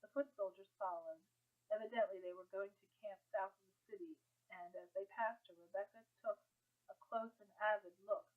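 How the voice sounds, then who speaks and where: A woman narrates a story from a script, at a middle pitch. The recording is muffled and band-limited, with little top end. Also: steady tone, moderate pace. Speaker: audiobook narrator.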